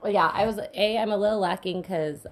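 A woman's voice: medium pitch, a little fast. A woman co-hosting a casual podcast, rambling, self-deprecating and laughing often. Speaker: podcast co-host.

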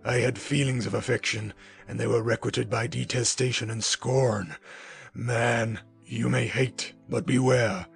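A man voicing a lonely, vengeful male creature in a dramatic audiobook reading, with a slow, heavy, threatening delivery. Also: medium pitch, fairly even intonation, vocal fry. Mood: menacing. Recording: music bed underneath.

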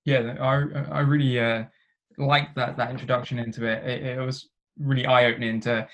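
A man hosting a podcast, relaxed, chatting with his guest with many restarts and "uh" fillers. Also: mid-range voice, moderate pace. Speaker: podcast host. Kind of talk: casual podcast interviewing.

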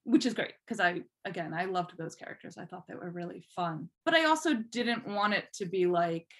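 A woman's voice: fairly low pitch, lively intonation, brisk, a bit bright. A woman speaking casually as an interview guest, chatty and self-deprecating in an informal way. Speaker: podcast guest, fan fiction writer.

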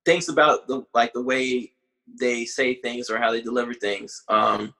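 A man in his late twenties talks through his ideas in an easygoing podcast conversation. His voice sits at a middling pitch. He speaks reflectively and pauses to find the right words. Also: fairly even intonation, bright, forceful tone, low-quality recording. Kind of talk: casual conversation.

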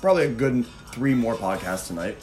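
A hoarse young man co-hosting a podcast, rambling with crude, goofy jokes. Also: medium pitch, animated, brisk, a little mellow, soft background music. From Ohio. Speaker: podcast co-host. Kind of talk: casual chat.

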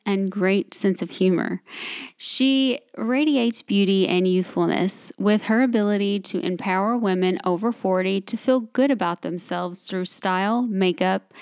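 A woman's voice: mid-range voice, darkish tone, dry recording, studio quality. A woman from Kentucky with a Southern US accent, hosting a casual interview and talking in a chatty, giggly way with many fillers. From Kentucky, USA. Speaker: podcast host.

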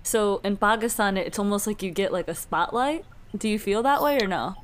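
Woman cohost, medium pitch, asks probing questions in a casual chat. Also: a little fast, slight background noise. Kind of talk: casual interview.

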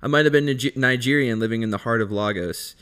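A man's voice: mid-range voice, fast, a bit bright, clean tone. A man hosting a podcast in casual conversation, upbeat and slangy. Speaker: podcast host.

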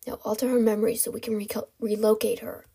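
A woman voicing a menacing, mocking male kidnapper in a hollow, breathy hissing whisper for a story reading. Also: on the high side, fairly even intonation, mellow, heavily acted. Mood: cold threatening. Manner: taunting, drawn-out menace.

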